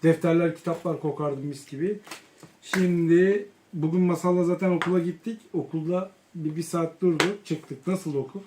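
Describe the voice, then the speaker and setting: A woman's voice: lowish voice, fairly even intonation, moderate pace, noisy background. A young adult woman chatting casually with a child, teasing and quizzing as she shows things on a home vlog. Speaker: family vlogger showing school supplies.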